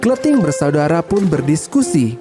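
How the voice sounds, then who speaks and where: A woman's voice: low-pitched, sing-song, normal speed, loud music behind the voice. A woman narrating a scripted children's story.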